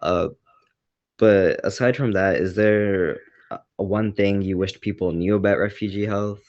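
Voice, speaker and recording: A man's voice: lowish voice, fairly even intonation, unhurried, a little mellow, dry recording, highs cut off. A man interviewing, asking long, hesitant questions full of fillers.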